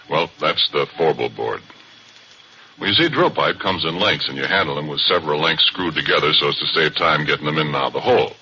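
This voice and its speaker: A gruff folksy oil driller. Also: male voice, rumbling low, bright, forceful tone, full character voice. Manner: folksy, drawling storyteller. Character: Porky, gruff easygoing oil driller and narrator. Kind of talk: 1940s radio drama acting. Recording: dull old radio broadcast, faint hiss.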